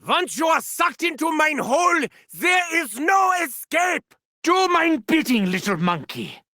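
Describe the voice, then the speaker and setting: An actor playing a gleefully sadistic German mad scientist villain in a video game, theatrical and grandiose, with a German accent and mocking, formal phrasing. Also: male voice, very high-pitched, very animated, a little slow, sharp tone, no echo, clean studio sound, full character voice. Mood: manic, gleeful. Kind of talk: video game character voice lines.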